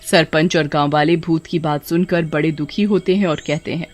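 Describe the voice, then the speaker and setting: Casting: storyteller for animated horror tales, mid-range voice, scripted dramatic narration. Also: medium pitch, moderate pace.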